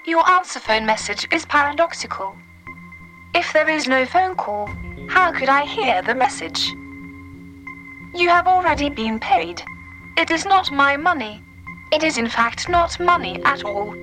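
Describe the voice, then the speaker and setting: A woman's voice: high-pitched, lively intonation, a little slow, bright, forceful tone, faint music behind, heavily acted. A woman playing a touchy, literal-minded female artificial intelligence in an audio comedy drama, speaking with formal, curt precision. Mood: stern, matter-of-fact.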